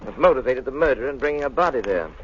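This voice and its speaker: An urbane amateur sleuth played by a man reasons briskly in a mid-pitched voice. He is cultured and composed, in old radio-play style, with some noise on a dull recording. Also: lively intonation, lightly acted. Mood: thoughtful. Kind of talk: old-time radio drama acting.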